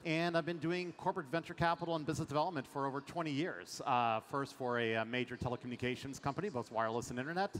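A middle-aged man from France speaks as a guest investor on a conference stage. His pitch sits in the middle, and he talks with energy, full of fillers. His headset mic picks up the long reverb of a big hall and a faint murmur behind him. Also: quick pace, few pauses, a bit bright, a little hiss or hum. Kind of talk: panel fireside chat.

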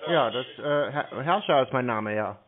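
A man's voice: mid-range voice, expressive, moderate pace, crowd noise. Blunt man on a tinny phone line. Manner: blunt, mocking. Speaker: scam-baiter taking phone calls. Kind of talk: unscripted prank phone conversation.

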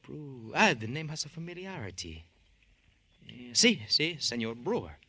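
An actor playing a courtly, florid travel agent with a Spanish accent in a radio drama, formal and courteous. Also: male voice, medium pitch, sing-song, a little slow, bright, forceful tone, a little hiss or hum, exaggerated voice. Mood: courteous, eager. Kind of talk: old-time radio crime drama acting.

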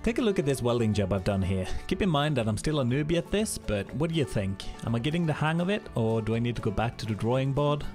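A young man hosting a casual do-it-yourself video, explaining each repair step in a relaxed, self-deprecating way. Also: medium pitch, fast talker, hardly any pauses, soft background music. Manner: casual, self-deprecating, thinks aloud while explaining steps. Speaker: channel host. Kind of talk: casual DIY vlog narration.

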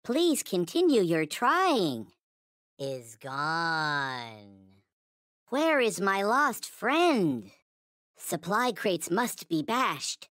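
A man voicing a small, squeaky, childlike toy alien in a video game, earnest and worshipful, with stilted, formal phrasing. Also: a bit bright, no room sound, clean studio sound, exaggerated voice. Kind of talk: video game character voice lines.

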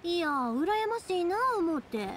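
A woman's voice: very high voice, animated, unhurried, a little hiss or hum. A woman playing a spirited, caring young fireworks maker in a game, speaking reassuringly with a Kansai accent. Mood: friendly, thoughtful. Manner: reassuring, friendly, earnest. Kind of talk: video game voice acting. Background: background music.

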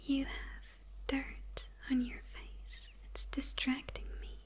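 A young woman playing a smitten, menacing yet confused female supervillain in an ASMR roleplay, speaking in a breathy, hesitant whisper close to the microphone. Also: high-pitched, monotone. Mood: coolly teasing. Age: young adult. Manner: slow, hesitant, halting murmur with pauses.

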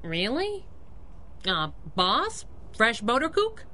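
A woman's voice: on the high side, huge pitch swings, slow, pushed and bright, heavily acted. An actress playing a sassy, loyal young female sidekick in a 1930s-style radio drama, wry and wisecracking.

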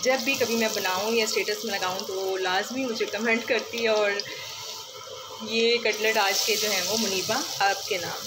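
Woman, home cooking vlogger, mid-pitched and chatty; phone recording with loud kitchen noise behind. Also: fairly even intonation, moderate pace. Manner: chatty, step-by-step, leans on the filler "jo hai". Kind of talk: casual cooking demonstration. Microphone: phone held over the stove. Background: loud kitchen noise, frying and running water.

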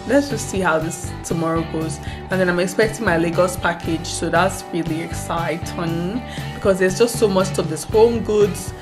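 A young Nigerian woman with a slightly low, easy voice talks loosely to her camera, full of "um" and "so yeah" as she thinks aloud. Her words ring off the hard walls of empty tiled rooms, and music plays behind her. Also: echoey room. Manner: relaxed, chatty, thinking aloud with many fillers. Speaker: vlogger. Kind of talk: casual vlog chat to camera. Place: small bare apartment with tiled rooms. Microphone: handheld camera close to face.

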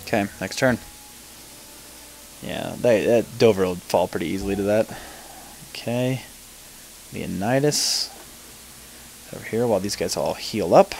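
Slow, low-voiced man muttering over a game. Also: creaky, darkish tone, a little hiss or hum, poor audio. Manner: muttering to himself, swears offhandedly. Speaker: let's play commentator. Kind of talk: casual gameplay commentary.

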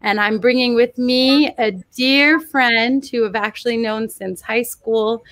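A woman's voice: on the high side, fairly even intonation, a little slow, fairly bright tone, dry recording. Upbeat young woman hosting a video call. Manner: upbeat, effusive with praise. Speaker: host. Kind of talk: livestream event hosting. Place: home room, on video call.

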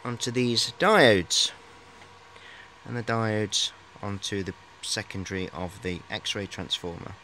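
A man's voice: on the low side, takes it slowly, sharp tone. A man in his forties chatting casually and hesitantly as he shows equipment, rambling in a matey way, recorded on a handheld camera in a workshop. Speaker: hobbyist showing high-voltage equipment. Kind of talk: casual hands-on show-and-tell chat. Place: cluttered indoor workshop. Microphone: camera at arm's length. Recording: handheld camera, clear background noise.

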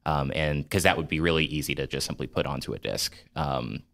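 A man's voice: very deep voice, a little fast, vocal fry, a bit bright. A man hosting a casual podcast discussion about games, talking in a hesitant, wordy, circling way. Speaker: podcast host.

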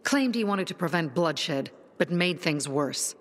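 A woman's voice: lowish voice, lively intonation, measured pace. An actress playing a determined young woman who leads a resistance in a video game. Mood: grave, resolute. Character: determined young woman, resistance leader rallying her people.

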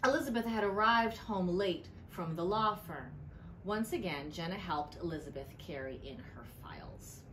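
A middle-aged woman, a librarian, reads a picture book aloud with deliberate storytelling emphasis. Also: lowish voice. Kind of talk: expressive storybook read-aloud. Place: indoor library room. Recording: clearly noisy room ambience.

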